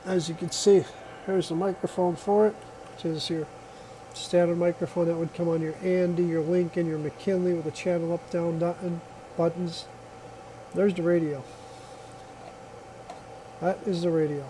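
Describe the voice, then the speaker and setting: Mellow, higher-voiced man demonstrating gear. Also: flat delivery, moderate pace, long pauses, slight background noise, low-quality recording. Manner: plainspoken, step-by-step explaining. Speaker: product reviewer. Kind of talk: casual hands-on product demonstration.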